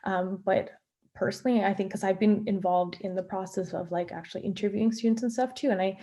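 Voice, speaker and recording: A woman's voice: mid-range voice, fairly even intonation, fairly quick, mellow. A young woman from Canada, a family physician, answering student questions in a virtual session, chatty with many fillers and restarts. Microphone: video call.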